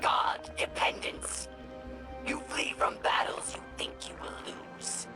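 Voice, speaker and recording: An actor playing a fierce, proud alien war general in a video game, taunting in rasping, breathy, clipped phrases. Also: takes it slowly, whispered, music in the background, natural voice. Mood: contemptuous challenge. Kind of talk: video game villain dialogue.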